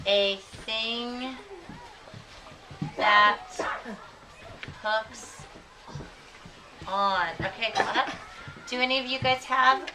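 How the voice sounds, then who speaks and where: A woman teaching elementary pupils in a classroom, asking questions and repeating answers deliberately with encouraging endearments. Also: mid-range voice. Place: classroom with children. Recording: distant mic, clearly audible room noise.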